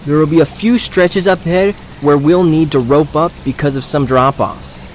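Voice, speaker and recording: A man's voice: on the high side, moderate pace, slight background noise, lightly acted. A man playing a confident young trail guide in an audio drama, with a firm, instructive manner. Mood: firm, warning. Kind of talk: scripted audio drama acting. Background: faint outdoor ambience and stream effects.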